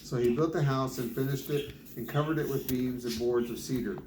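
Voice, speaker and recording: A man's voice: medium pitch, steady tone, brisk. A man reading scripture aloud as a Bible reader, sometimes stumbling and rereading words. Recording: noisy, echoey home recording with clicks.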